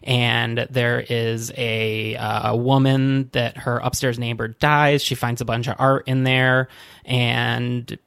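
A man's voice: medium pitch, flat delivery, slow pace, gravelly, fairly bright tone, smooth, dry recording, clean studio sound. A man co-hosting a podcast, casually giving frank opinions on movies, with fillers. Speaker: podcast co-host. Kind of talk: casual chat reviewing movies.

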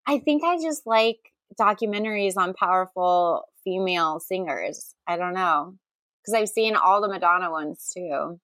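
A woman's voice: fairly low pitch, expressive, moderate pace, a bit bright, dry recording. A woman co-hosting a podcast in casual chat, talking in a gushing, enthusiastic way. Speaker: podcast co-host.

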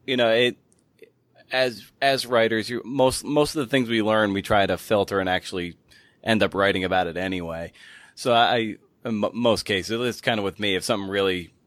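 Male interviewer, lower register, very dry sound, loose conversational delivery with halting phrasing. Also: fairly bright tone, dry recording. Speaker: podcast host and interviewer.

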